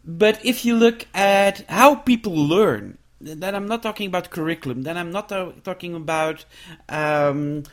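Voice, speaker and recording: A man with a Dutch accent, a researcher and author answering interview questions in a thoughtful, halting way with frequent restarts. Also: high voice. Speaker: education researcher and book author.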